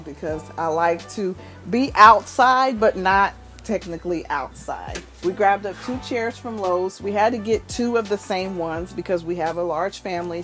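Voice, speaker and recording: A woman in her thirties walking through her house, cheerfully showing off the decor. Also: lowish voice. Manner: upbeat, gushing over decor. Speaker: vlogger giving a home tour. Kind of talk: casual home tour narration. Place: inside a house, walking room to room.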